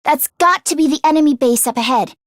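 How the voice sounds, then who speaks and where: A woman voicing an excitable, anxious but determined teenage girl mechanic in a video game, with a youthful voice and expressive, emphatic delivery. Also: sits high, fast talker, no echo, studio quality, lightly acted. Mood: alert. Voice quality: youthful, girlish. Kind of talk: animated video game character acting.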